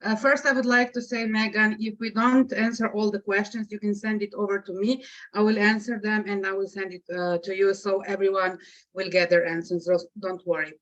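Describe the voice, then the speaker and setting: A woman's voice: mid-range voice, flat delivery, a little fast, a bit bright. A woman from Slovenia, a non-native English speaker, presenting as a guest coach on a video call with many hesitant fillers. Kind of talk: webinar presentation. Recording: muffled video call audio.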